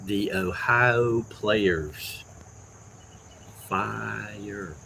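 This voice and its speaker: A man hosting a livestream, chatting casually and slowly to a viewer. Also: lowish voice, creaky, pushed and bright, a little hiss or hum. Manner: slow, drawn-out, addresses a viewer by initials. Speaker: livestream host.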